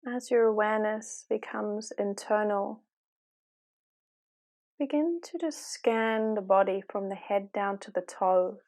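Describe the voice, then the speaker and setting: A woman leading a guided meditation in a calm, unhurried, gently instructive way, with long pauses. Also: medium pitch.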